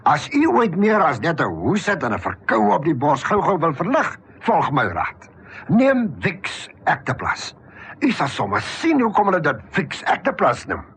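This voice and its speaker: A man's voice: a little high, huge pitch swings, moderate pace, fairly bright tone. A man giving an earnest, persuasive radio advert testimonial.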